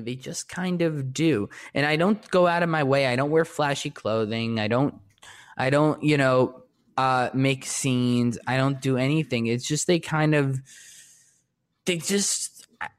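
A man with a distinctly high-pitched voice, chatting casually and rambling with many restarts and fillers. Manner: rambling, lots of restarts and fillers, self-described shy. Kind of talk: casual conversation.

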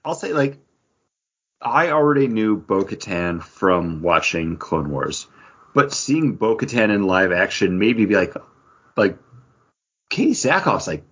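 A man hosts a podcast in a casual, fannish way. His voice sits low and has a husky, unclear grain. The recording is slightly lo-fi. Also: very animated, measured pace, long pauses, a bit bright. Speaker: podcast host. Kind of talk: casual fan chat.

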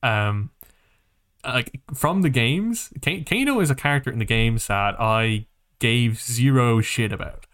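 A man's voice: mid-range voice, expressive, measured pace, a bit bright. A man co-hosting a podcast, chatting casually about movies and games in a rambling, emphatic, sweary way. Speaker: podcast co-host. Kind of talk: casual movie and game chat.

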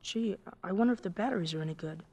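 An actor playing an excitable, earnest young American boy in a 1950s radio drama, with breathless, insistent kid talk. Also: medium pitch, very fast, noisy background, close to an everyday voice. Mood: curious. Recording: vintage 1950s radio broadcast.

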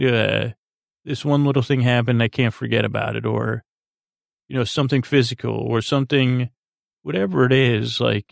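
Male podcast host for bedtime rambling: tired, even-toned delivery with vocal fry, halting and meandering. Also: mid-range voice, dry recording, clean studio sound. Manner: meandering, halting, repeats words. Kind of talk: rambling bedtime monologue to help listeners sleep.

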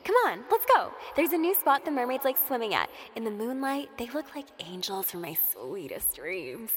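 Animated, affectionate, coaxing female friend. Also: a little high, moderate pace. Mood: playful coaxing. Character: loyal, affectionate, playful woman friend, gently coaxing. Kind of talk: naturalistic audio drama acting.

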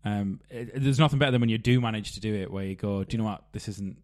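A man's voice: lowish voice, quick pace. A man hosting a podcast, rambling through anecdotes and laughing mid-sentence. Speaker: podcast host. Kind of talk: casual chat.

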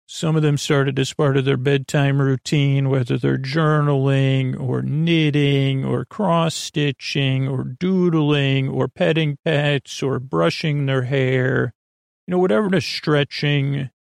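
Creaky-voiced, meandering man. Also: medium pitch, no room sound, studio quality. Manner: meandering, bumbling, trailing off and circling back. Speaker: podcast host. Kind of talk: rambling bedtime podcast monologue.

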